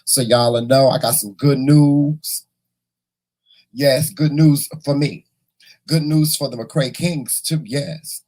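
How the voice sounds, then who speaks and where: A hoarse man hosting a casual morning livestream, chatting and teasing his viewers in a folksy way. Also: mid-range voice, fairly even intonation, takes it slowly, darkish tone, low-quality recording. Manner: folksy, teasing, talks to the chat; repeats words and restarts often. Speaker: morning show host.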